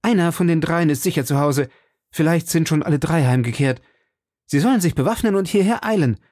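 A man's voice: fairly low pitch, sing-song, moderate pace, vocal fry, darkish tone, breathy edge, dry recording, clean studio sound, heavily acted. A man voicing a bold, hot-headed young male swordsman, impulsive and commanding. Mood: urgent.

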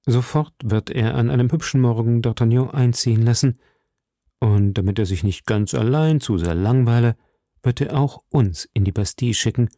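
Man playing a composed musketeer leader, creaky voice. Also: fairly low pitch, dry recording, clean studio sound, close to an everyday voice. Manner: calm, indifferent, deliberate. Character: composed, dry-witted male musketeer leader.

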